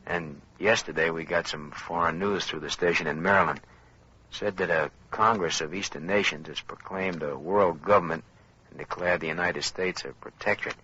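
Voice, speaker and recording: An actor playing a grave survivor giving folksy, matter-of-fact radio reports in an old-time drama. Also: male voice, low-pitched, normal speed, bright, forceful tone, a little hiss or hum. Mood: grave, resigned. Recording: muffled vintage radio broadcast.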